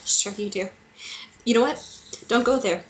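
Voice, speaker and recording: A woman's voice: medium pitch, unhurried, lightly acted, rough recording. A woman playing a wounded, lovesick young woman in a dramatic screenplay reading, emotional and pressing, faltering when shaken. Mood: angry, challenging. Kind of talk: dramatic table read of a romance screenplay. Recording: clear background noise and ambience.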